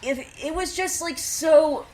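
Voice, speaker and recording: A woman's voice: high-pitched, takes it slowly, warm tone. A woman playing a sardonic, opinionated storyteller in a fiction podcast, narrating conversationally with gossipy asides. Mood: exasperated, indignant. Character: opinionated, sardonic female storyteller who gossips about townsfolk. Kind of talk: dramatic first-person storytelling.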